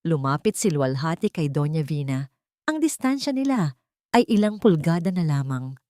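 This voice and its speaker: A woman's voice: sits low, very animated, normal speed, mellow, dry recording, studio quality. A woman reading scripted dramatic narration as a storyteller.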